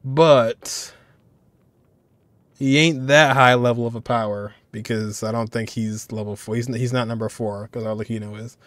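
A young man reacts to a game in a casual way. His voice is medium in pitch and recorded very dry and close. He muses aloud informally, often circling back to repeat a phrase. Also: fairly even intonation, moderate pace, a bit bright, no room sound. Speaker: reaction video creator.

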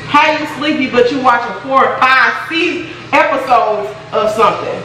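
A woman's voice: high-pitched, moderate pace, sharp tone, recorded from a distance. A young American woman chatting colloquially in a casual vlog, playfully chiding as she talks. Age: young adult. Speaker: vlog co-host and home cook. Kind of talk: casual vlog chat with bantering partner. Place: home kitchen, indoors. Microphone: camera or phone a few feet away. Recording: echoey, noisy kitchen, off the mic.

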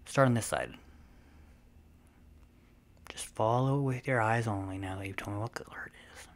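A woman playing a possessive, smug and playful vampire mistress in a breathy, unhurried ASMR roleplay. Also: rumbling low. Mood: commanding, pleased. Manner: self-satisfied, teasing, unhurried, often breathy and half-whispered.

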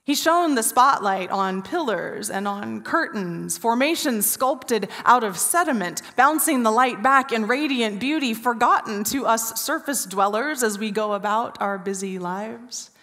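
A woman in her forties preaching an Easter sermon from a church stage, telling a story with hushed, drawn-out pauses. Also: a little high, animated. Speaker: pastor. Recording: reverberant church hall.

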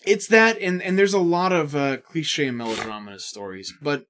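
A man in his thirties co-hosting a casual gaming podcast, swearing freely and jumping between asides. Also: on the high side. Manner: animated, profane, quick asides and impressions. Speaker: podcast co-host. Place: indoor studio set.

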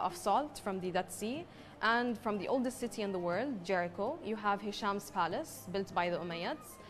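A young woman from Palestine giving an on-camera interview as a pavilion representative. She explains things proudly, like a tour guide, and pauses often. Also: mid-range voice. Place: exhibition pavilion, indoors.

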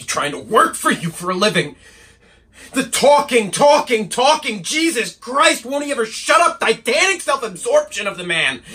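A man's voice: high voice, bright, forceful tone, exaggerated voice. A man performing a stage monologue as an angry, wounded young painter's assistant, bitterly sarcastic and repeating phrases for emphasis. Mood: incredulous, simmering. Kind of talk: dramatic stage monologue acting.

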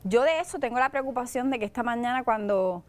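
A woman's voice: fairly high pitch, fast talker. A middle-aged woman with a Puerto Rican accent, a political candidate being interviewed, laying out her plans in an earnest, persuasive way. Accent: Puerto Rican Spanish. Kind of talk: interview answers. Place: TV studio set, seated in an armchair. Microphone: lapel mic.

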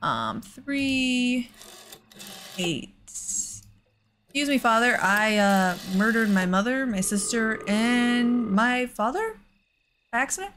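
A woman's voice: a little high, expressive, slow, fairly bright tone, a little hiss or hum. A woman hosting a casual let's play, with an exasperated, sarcastic way of talking. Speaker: gaming host.

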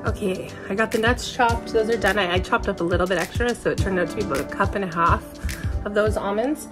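A woman's voice: medium pitch, fast, over loud music. A woman speaking casually and chattily as she narrates a home cooking vlog in her kitchen. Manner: chatty, informal. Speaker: vlogger and mother.

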